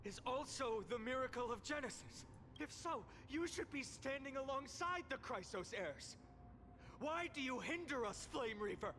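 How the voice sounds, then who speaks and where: A man's voice: very high voice, animated, normal speed, sharp tone, a little hiss or hum, exaggerated voice. A man playing a solemn, determined young game hero with an earnest, dramatic delivery. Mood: defiant. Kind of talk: scripted game voice acting. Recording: music behind the voice.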